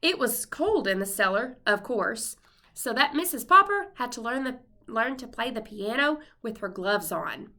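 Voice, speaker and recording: A woman with a high-pitched, bright voice reads a children's storybook aloud. She sounds like a first-grade teacher, expressive and clearly addressing young listeners. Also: normal speed. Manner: expressive, voices the characters' dialogue.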